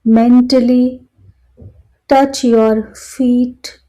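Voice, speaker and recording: A woman guiding a meditation in a calm, soothing manner, speaking in short phrases with long pauses between them. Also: a little high, rough recording. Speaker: meditation guide. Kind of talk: slow guided meditation narration.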